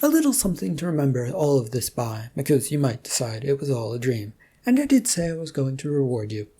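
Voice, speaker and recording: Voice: mid-range voice, very animated, warm tone, husky. A narrator voicing a mischievous male god who rambles and stammers in a self-satisfied way. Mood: gleeful. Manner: halting, stammering, rambling delivery.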